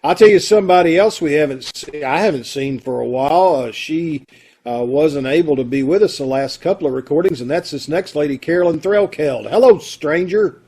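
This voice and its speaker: A man's voice: fairly high pitch, animated, brisk, warm tone, slightly rough. A man chatting in a folksy, casual way as he hosts an amateur theatre troupe's online show over a video call. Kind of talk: casual welcoming chat to an online audience.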